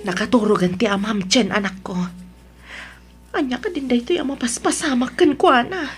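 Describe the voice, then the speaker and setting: A woman acting a devoted wife and mother in an Ilocano radio-style drama. Her voice is very high and full of anxious concern for her husband. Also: moderate pace, soft background music, lightly acted.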